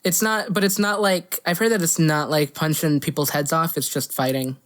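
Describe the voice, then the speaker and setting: A woman's voice: sits low, steady tone, moderate pace. A woman co-hosting a podcast in casual movie chat, talking bluntly and swearing freely. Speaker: podcast co-host.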